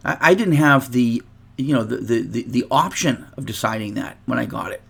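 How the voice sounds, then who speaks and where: Gravelly man telling a rambling story. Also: medium pitch, normal speed, fairly bright tone. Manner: chatty, rambling anecdote with asides and restarts. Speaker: guitar reviewer. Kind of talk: casual unboxing vlog storytelling.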